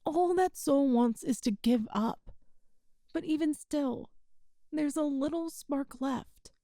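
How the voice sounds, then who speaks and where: A woman playing a kind, earnest human rescuer in an ASMR roleplay, speaking in a gentle, reassuring, folksy way to the listener. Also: sits high, slow pace, no echo, studio quality. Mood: tender, reassuring.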